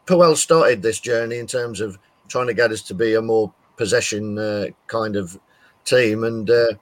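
A man co-hosting a casual chat, giving earnest, measured opinions. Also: on the low side. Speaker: co-host.

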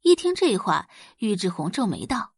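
Expressive woman narrating an audiobook. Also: mid-range voice, moderate pace, slightly rough, no echo, studio quality.

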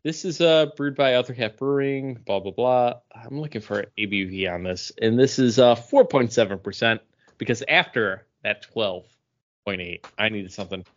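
A man co-hosting a podcast, chatting casually in an animated, rambling way. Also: mid-range voice, moderate pace. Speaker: podcast co-host. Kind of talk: casual pop culture and beer chat. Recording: dull, lo-fi podcast audio.

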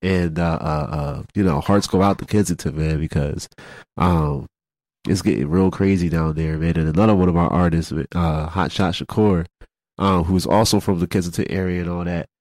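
A congested man hosting a casual podcast chat, halting, repeating words and filling gaps with fillers. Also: sits low, steady tone, a little mellow, no room sound. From Philadelphia. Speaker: podcast host. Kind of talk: casual radio-style chat.

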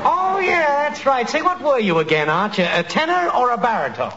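An actor playing an eager, flattering hustler who claims to be reformed, coaxing emphatically in a 1940s radio comedy. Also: male voice, very high voice, moderate pace. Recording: muffled, noisy 1940s radio recording.

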